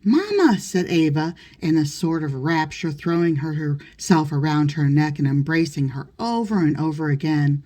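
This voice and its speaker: A woman narrates a literary audiobook. Her voice sits low for a woman, with a dark, relaxed tone suited to reading prose aloud. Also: moderate pace, few pauses. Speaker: audiobook reader.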